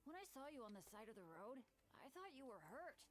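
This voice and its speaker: A friendly young female fighter in an anime game. Her voice sits high and moves with lively swings, brisk and eager to help.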